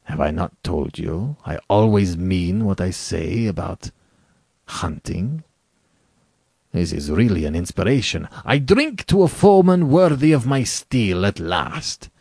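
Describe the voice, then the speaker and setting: A man's voice: low-pitched, lively intonation, measured pace, vocal fry, a little mellow, husky, no echo, clean studio sound, exaggerated voice. A man playing a suave, condescending aristocratic general, wildly swooping. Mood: gleeful, challenging. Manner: courtly, condescending, leisurely and theatrical. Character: suave aristocratic Cossack general, cruel hunter, falsely courteous. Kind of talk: dramatic storybook reading.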